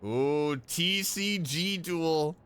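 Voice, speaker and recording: A man hosting a casual reaction video as a game fan, talking in an enthusiastic, expressive way. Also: fairly high pitch, drawn-out words, smooth. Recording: music behind.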